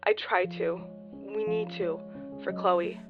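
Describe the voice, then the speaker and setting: A woman's voice: lowish voice, steady tone, slow pace, muffled recording. A woman playing a tender, anxious mother leaving a hesitant farewell message in a radio drama. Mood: fearful, loving. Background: plucked guitar music.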